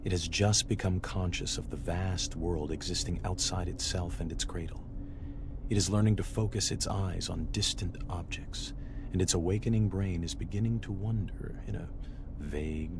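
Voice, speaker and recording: A man narrating philosophical passages for a game in a measured, contemplative, solemn way. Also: low-pitched, noisy background. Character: contemplative male reader of philosophical quotations. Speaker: narrator of game audio logs. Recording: music behind the voice. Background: music.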